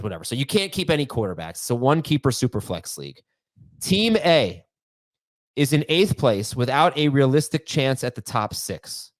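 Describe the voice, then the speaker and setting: A man's voice: medium pitch, no room sound. A man hosting a podcast with a nasal voice, chatting casually and reading listener emails out step by step with self-deprecating humor. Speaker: podcast host.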